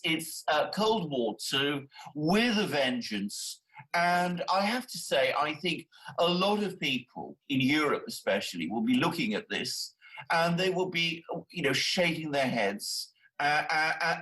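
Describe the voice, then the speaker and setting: A man comments on politics as a panel guest. His voice is bright, sharp and a little high, and he argues emphatically, doubling back on his words. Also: animated, measured pace, low-quality recording.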